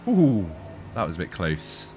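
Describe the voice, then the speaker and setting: Stuffy-nosed man, low voice. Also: big pitch swings, unhurried, darkish tone, a little hiss or hum. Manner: chatty, rambling, laughs easily. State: stuffy from hay fever. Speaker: sim racing streamer. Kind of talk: casual chat while racing.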